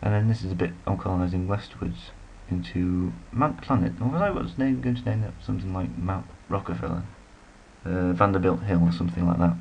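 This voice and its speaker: A man's voice: deep voice, brisk, vocal fry, darkish tone, slight background noise, poor audio. A man giving rambling, casual commentary while playing a strategy game, full of self-deprecating asides. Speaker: let's play commentator.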